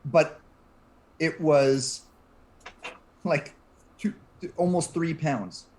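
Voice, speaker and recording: A man's voice: fairly high pitch, very slow, darkish tone, slight background noise, poor audio. A man talking casually as a guest on a panel show. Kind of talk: casual chat.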